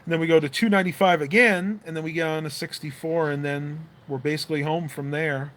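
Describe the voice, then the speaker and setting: A man's voice: a little high, steady tone, brisk, a bit bright. A man hosting a casual livestream, rambling through viewer comments with lots of fillers while his voice goes dry and fades. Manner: rambling, chatty, with many fillers. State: losing his voice, dry mouth. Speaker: livestream host.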